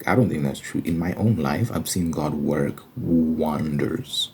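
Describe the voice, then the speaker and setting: A man's voice: very deep voice, unhurried, gravelly, darkish tone, a little hiss or hum. A man giving an informal ASMR-style Bible commentary, arguing his points emphatically with rhetorical questions. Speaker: host of an ASMR Bible study channel.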